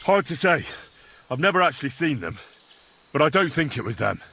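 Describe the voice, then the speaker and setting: An actor playing an eager, obedient young squire in a medieval video game. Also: male voice, fairly high pitch, sing-song, brisk, sharp tone, slight background noise, full character voice. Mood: earnest. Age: young adult. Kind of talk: video game cutscene acting.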